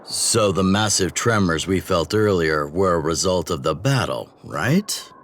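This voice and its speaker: A man voicing a seasoned, steady older leader in an anime-style game, gruff yet approving and deliberate. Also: deep voice, a little hiss or hum.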